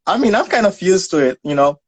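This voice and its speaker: A young man with a Haitian accent speaks in a fairly high voice, with very little room sound around it. He tells personal stories in a casual, conversational style. He often stumbles and repeats words while searching for the next phrase. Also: sing-song, fast, no room sound. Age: young adult.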